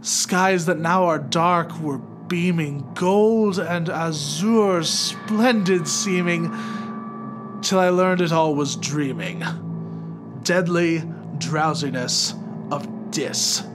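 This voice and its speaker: A man narrating poetry in a theatrical, solemn and measured dramatic recitation. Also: on the high side. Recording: loud music under the voice. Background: music.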